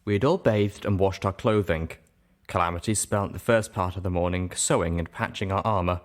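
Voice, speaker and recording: A man with a fairly low voice narrating a story aloud in an expressive audiobook style. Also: a little fast, a little hiss or hum. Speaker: narrator reading a fan fiction story aloud.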